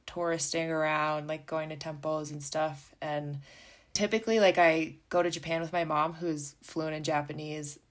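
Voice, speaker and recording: A woman's voice: low-pitched, level tone, moderate pace. A young American woman, a professional skier, speaking as a podcast guest in a candid, reflective way, with frequent "like" fillers. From United States. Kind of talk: casual interview talk.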